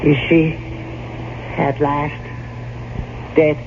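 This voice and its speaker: Scared servant, slow n halting, theatrical radio horror, dull old noisy recording. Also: male voice, fairly high pitch, little pitch movement. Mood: fearful. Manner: halting, repeating, overwrought, theatrical. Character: frightened male servant, haunted and horror-struck. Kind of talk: 1970s radio drama acting.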